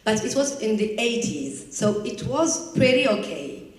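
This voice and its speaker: Woman, halting speech. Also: fairly high pitch, very animated. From Morocco. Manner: halting and searching for words. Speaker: panelist, activist. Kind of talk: halting explanation. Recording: echoey room, distant mic.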